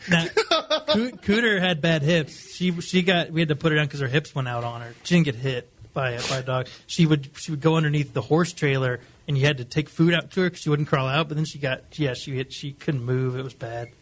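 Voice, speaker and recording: A man's voice: fairly high pitch, a little fast. A man with an American Midwestern accent telling stories casually on a radio show in a matter-of-fact, unfazed way. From rural Missouri, US. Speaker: radio show guest, sports columnist. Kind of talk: casual banter, storytelling.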